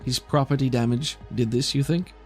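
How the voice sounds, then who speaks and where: A man's voice: mid-range voice, steady tone, normal speed, a little mellow, soft background music, close to an everyday voice. A man voicing a skeptical female medic who questions things dryly. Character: female medic examining a patient, brisk and skeptical.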